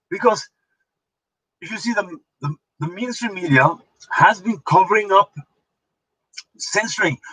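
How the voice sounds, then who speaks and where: A man from Punjab with a Punjabi Indian accent, hosting a political commentary show and speaking haltingly with many fillers and restarts. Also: fairly high pitch, fairly bright tone, low-quality recording. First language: Norwegian. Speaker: host.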